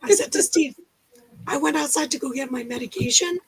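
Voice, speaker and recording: Canadian woman, very high voice, gravelly fry, playful teasing chat, video call. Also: no room sound, poor audio. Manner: playful, teasing, quick to joke. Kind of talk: casual group chat.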